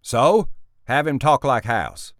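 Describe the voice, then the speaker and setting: A man voicing an irritable, wealthy male tech boss who is brash, impatient and quick to swear. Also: mid-range voice, animated, fairly bright tone, no room sound, studio quality, pitched above the performer's own voice.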